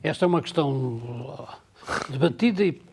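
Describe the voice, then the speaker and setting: A man's voice: mid-range voice, lively intonation, normal speed, creaky, darkish tone, slightly rough. A man moderating a televised debate in a studio, putting brief, direct questions to the candidates.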